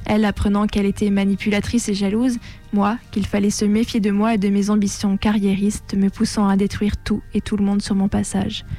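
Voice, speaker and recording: A young woman reading a scripted personal testimony in a measured, composed, literary way. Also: mid-range voice, flat delivery, mellow, soft background music. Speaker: narrator of a personal testimony on a radio show. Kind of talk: scripted first-person narration, literary testimony read aloud.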